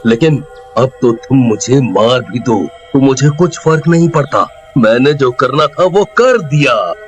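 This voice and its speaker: A man's voice: medium pitch, animated, a little slow, lightly acted. A man voicing a jealous, scheming brother, melodramatic and bitter. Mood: bitter, resigned. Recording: music under the voice.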